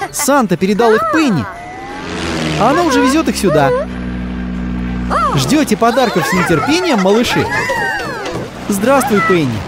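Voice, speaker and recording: Woman narrator for a children's cartoon, very animated pitch, cheery and encouraging, loud music underneath. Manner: cheerful, encouraging, exclamatory, talking to the characters and the kids. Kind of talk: lively scripted narration for young children. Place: voice-over studio.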